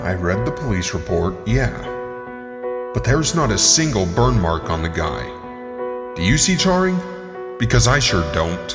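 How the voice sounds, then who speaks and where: Male actor as sceptical coroner, low voice, blunt, matter-of-fact, horror audio drama, loud music. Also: sing-song, measured pace, long pauses. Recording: reverberant, loud music behind.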